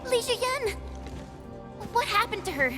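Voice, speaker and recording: Very high, excitable young woman. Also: slow, over music, exaggerated voice. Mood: startled, impatient. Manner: expressive, informal, impulsive. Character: excitable, outspoken young woman, anxious for her friend. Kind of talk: video game cutscene acting.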